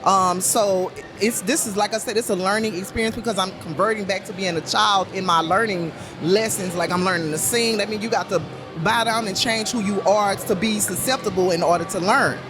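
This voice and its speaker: A woman with a deep voice talking as a podcast guest, rambling with many restarts and fillers. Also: brisk, over music. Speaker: guest, puppet show creator. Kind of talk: casual podcast conversation.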